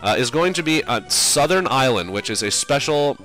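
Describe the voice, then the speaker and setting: A man's voice: medium pitch, animated, normal speed, bright, forceful tone, soft background music. Sinusy man rambling over a game. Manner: casual, rambling, many fillers. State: sinusy from a cold. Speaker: game commentator. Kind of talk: let's play commentary.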